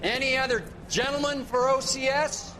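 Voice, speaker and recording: A man's voice: extremely high pitch, steady tone, moderate pace, pushed and bright, slight background noise, rough recording. A man playing an aggressive, sarcastic, foul-mouthed American Marine sergeant in a video game. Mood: scornful. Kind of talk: video game cutscene acting.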